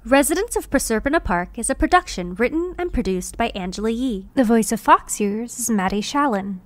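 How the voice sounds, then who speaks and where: A woman's voice: on the high side, animated, a little fast, slight background noise. A woman announcer reading scripted credits for an audio production.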